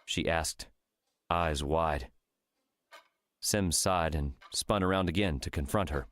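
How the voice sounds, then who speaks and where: Casting: male narrator, low-pitched, measured storyteller for a fiction audiobook. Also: fairly bright tone.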